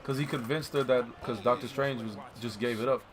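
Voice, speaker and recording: A man's voice: medium pitch, fairly even intonation, a little fast. Slangy man chatting over basketball game crowd. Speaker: co-commentator. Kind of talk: casual chat, slangy movie discussion. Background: basketball video game crowd and ball bounces.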